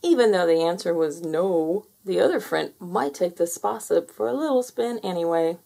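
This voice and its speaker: Woman, children's read-aloud, slightly low pitch, plenty of vocal fry, storybook narration. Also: lively intonation, normal speed, mellow. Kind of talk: picture book read-aloud.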